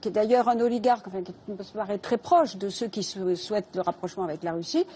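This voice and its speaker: An older woman making her case in a television debate, pausing often on fillers while she argues. Her voice is mellow and mid-pitched. A faint noise sits under it. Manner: measured, hesitant with many fillers, soft laughing start. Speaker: debate guest, politician.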